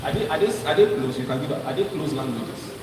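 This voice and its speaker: A man's voice: mid-range voice, lively intonation, quick pace, distant mic. A man giving a live presentation, quizzing the audience and restarting his sentences as he goes. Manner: interactive, quizzes the crowd, many restarts. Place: large hall with a live audience. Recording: echoey hall, voice from afar, noisy.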